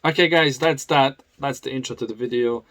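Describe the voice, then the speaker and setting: A man's voice: medium pitch, a little fast, a bit bright, no echo. A man with a foreign accent who grew up in the UK, chatting casually to camera as a motorcycle vlogger, laughing often and restarting his sentences.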